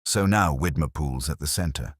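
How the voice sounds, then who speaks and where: A man's voice: very deep voice, moderate pace, husky, dry recording, clean studio sound. A man doing a puzzled, questioning sergeant.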